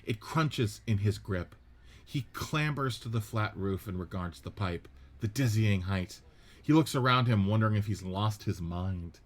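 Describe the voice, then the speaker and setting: A man narrating the stage directions of a radio play script in a steady, descriptive reading. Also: lowish voice, unhurried.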